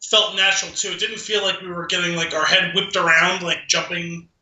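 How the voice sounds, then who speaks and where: A man with a high, strikingly bright and forceful voice co-hosts a podcast. His delivery is casual movie chat, and the recording has an audible room echo. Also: level tone, a little fast. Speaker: podcast co-host. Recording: echoey, roomy sound.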